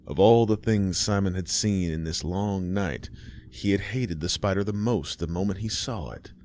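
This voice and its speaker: A man narrating a horror story in a measured, ominous way. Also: low-pitched, a little mellow. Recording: music bed behind.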